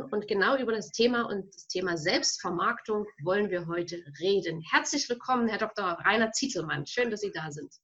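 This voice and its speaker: A woman hosting an interview podcast while she has a cold, asking her guest questions in a friendly, measured way. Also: fairly high pitch. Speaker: podcast host. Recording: dull lo-fi sound.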